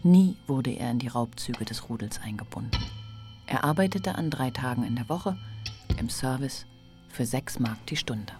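A woman reading scripted literary narration for a radio play in a measured, sober manner. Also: extremely low pitch, lively intonation. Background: faint music.

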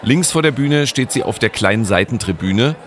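A man narrating his own podcast episode in a calm, scripted storytelling manner. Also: mid-range voice, moderate pace, a little hiss or hum.

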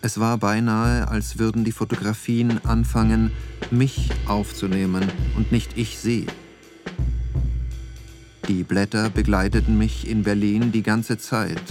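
A low-pitched man played by an actor tells his story as a searching, reflective photographer. The delivery is measured and literary, in radio drama style, over faint music. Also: darkish tone, natural voice. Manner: contemplative, measured. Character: reflective male photographer narrating his own searching wanderings. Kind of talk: literary radio play monologue.

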